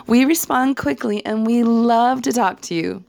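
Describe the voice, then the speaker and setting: A woman hosting a podcast, hoarse from a cold, reading an upbeat, grateful intro. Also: a little high, measured pace, a little mellow. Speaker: podcast host.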